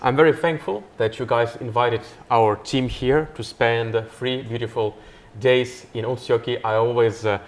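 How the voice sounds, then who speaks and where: A man's voice: medium pitch, steady tone, normal speed, few pauses. A man hosting a live podcast interview outdoors by a river, talking in a friendly, enthusiastic way with frequent fillers. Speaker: podcast host.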